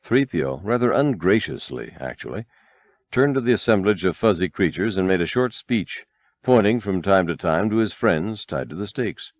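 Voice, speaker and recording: Male narrator for adventure fiction: lowish pitch, animated range, measured dramatic delivery, dry sound. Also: dry recording. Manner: expressive, measured storytelling. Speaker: audiobook narrator. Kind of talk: scripted dramatic narration of a novel.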